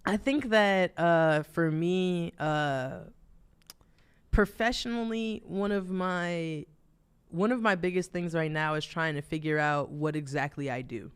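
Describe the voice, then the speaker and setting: High-voiced, smooth man with a New Jersey accent. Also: slow. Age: 30s. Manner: relaxed, rambling, with frequent fillers and laughs. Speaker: podcast co-host. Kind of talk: casual chat.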